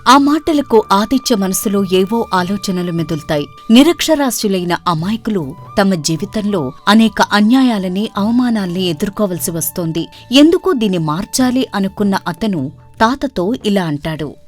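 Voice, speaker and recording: A woman's voice: mid-range voice, expressive, normal speed. A woman reading scripted narration for a moral story as its storyteller. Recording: music bed under the voice.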